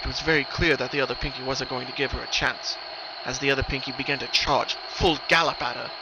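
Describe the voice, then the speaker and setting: A man's voice: fairly even intonation, quick pace, dull audio. A man reading a scripted horror story as a dramatic narrator. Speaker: narrator of horror fan fiction. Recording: noisy recording, rumbling ambient background.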